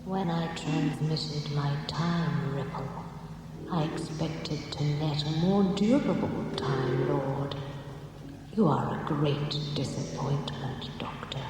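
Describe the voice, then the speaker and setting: A woman playing a cold, superior ship intelligence in a radio drama, speaking gravely and formally with stern judgement. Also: low-pitched, slow pace. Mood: cold contempt. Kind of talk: 1980s radio science fiction drama acting. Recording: echoing, noisy old radio broadcast.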